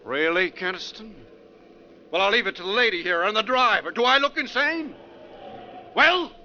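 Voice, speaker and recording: An actor playing a sarcastic, edgy, talkative bus passenger in a needling, theatrical 1940s radio style. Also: male voice, high-pitched, animated, moderate pace, bright, forceful tone, heavily acted. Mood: defiant. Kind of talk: 1940s radio drama acting. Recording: dull, noisy 1940s radio recording.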